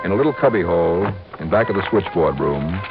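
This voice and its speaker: 1950s radio investigator: dogged, wry American, lively inflection, music behind, dull vintage sound. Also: male voice, sits low, fast. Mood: wry, brisk. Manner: clipped, punchy, wry delivery. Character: wry, dogged male freelance insurance investigator. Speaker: lead actor and narrator. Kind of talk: 1950s radio detective drama acting, first-person narration.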